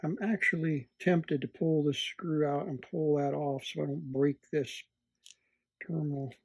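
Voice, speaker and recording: A man at an indoor workbench gives an unscripted, hands-on repair demonstration, thinking aloud in a halting way as he works. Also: on the high side, steady tone, creaky, a little mellow, dry recording. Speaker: electronics repair hobbyist presenting.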